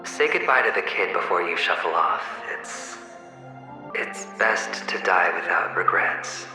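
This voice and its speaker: A slow, echoing, theatrical gambler. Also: male voice, low-pitched, expressive. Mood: bitter, reflective. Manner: theatrical, deliberate, with sighs and dramatic pauses. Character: smooth, theatrical, world-weary young male gambler and his mocking double. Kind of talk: dramatic video game acting. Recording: heavy echo, long reverb, music underneath. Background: music.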